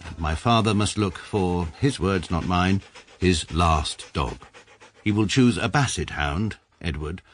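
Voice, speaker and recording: A man's voice: sits low, slightly rough, no echo, studio quality. A man with a British accent narrating as a precise, pedantic middle-aged barrister in a radio comedy. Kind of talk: first-person radio narration.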